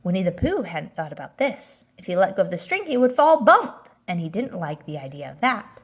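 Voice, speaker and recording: A woman narrating a children's story aloud, expressive and deliberate in her phrasing. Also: lowish voice.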